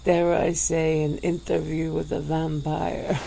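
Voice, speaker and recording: A woman voicing a centuries-old female vampire who speaks slowly and softly, with breathy little laughs, in an ASMR roleplay. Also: low-pitched, level tone, slight background noise, exaggerated voice. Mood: teasing, languid. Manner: slow, soft-spoken, with breathy little laughs.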